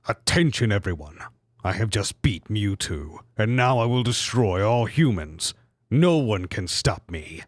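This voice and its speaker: A man's voice: on the low side, animated, measured pace, no room sound, voice pushed down. A pompous cartoonish monster villain, acted out by a man reading fanfiction, gloating and vengeful. The delivery is mock-dramatic and threatening, in a very dry recording. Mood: gloating menace. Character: menacing villainous Pokemon clone, gloating and vengeful.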